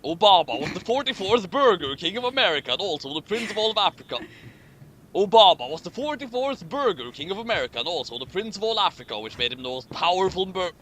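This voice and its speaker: A man's voice: high voice, big pitch swings, quick pace, a bit bright, a little hiss or hum. A man hosting a comedy commentary, reading fan fiction aloud with dramatic stress and exclamations of disbelief.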